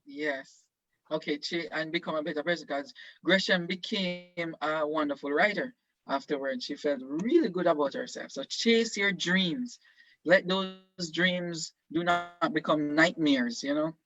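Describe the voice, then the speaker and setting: Bright-voiced man teaching over video call. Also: a little high, a little slow, no echo. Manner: encouraging, questioning, many fillers. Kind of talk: leading an online class discussion. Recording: dull lo-fi video call audio.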